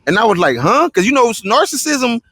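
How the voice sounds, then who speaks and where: A man's voice: very high voice, big pitch swings, a little fast, a bit bright, slightly rough, no room sound, clean studio sound. A man hosting a casual live interview, talking laid-back in street slang and often asking "you feel me". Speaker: interview host.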